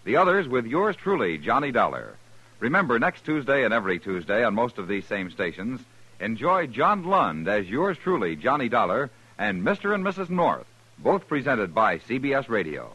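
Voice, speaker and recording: A man's voice: medium pitch, big pitch swings, moderate pace, bright, forceful tone, slightly rough, muffled recording. A man working as a 1950s network radio announcer, with polished, emphatic promotional delivery.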